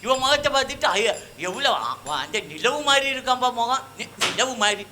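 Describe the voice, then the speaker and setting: A man's voice: very high voice, expressive, fairly quick, background noise. A man with a southern Tamil Nadu accent voicing a wailing, grumbling comic rustic clown in a folk shadow puppet show. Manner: exclamatory, repetitive lamenting.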